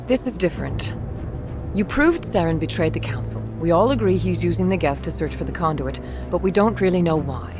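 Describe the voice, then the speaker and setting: A measured, diplomatic female councilor, voiced by an actress, with a slightly low voice. Her speech is calm and composed. Game music plays behind her. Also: close to an everyday voice.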